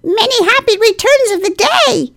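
Squeaky, timid little storybook piglet. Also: female voice, vocal fry, a bit bright, full character voice. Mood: eager. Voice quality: thin, squeaky. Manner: fretful, halting, earnest. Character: small timid anxious young piglet, eager and apologetic. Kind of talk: children's storybook character reading.

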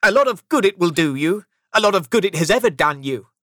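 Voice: mid-range voice, lively intonation, a little fast, a bit bright, dry recording, clean studio sound, voice pushed up, natural voice. A narrator voicing a grumpy, miserly old businessman from a storybook, snapping scornfully and emphatically. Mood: angry, indignant.

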